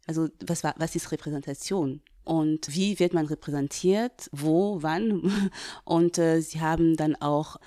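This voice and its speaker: A woman's voice: low voice, a little slow, mellow, no echo, studio quality. A woman from Cameroon, a diversity trainer answering interview questions earnestly, with frequent hesitations. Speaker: diversity trainer, workshop leader.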